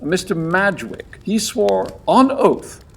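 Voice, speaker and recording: A man's voice: high-pitched, big pitch swings, takes it slowly, gravelly, a bit bright, slight background noise, heavily acted. A man playing an earnest, deferential and rather agitated police inspector in an audio drama. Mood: baffled, earnest. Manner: emphatic, deferential. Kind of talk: audio theatre acting.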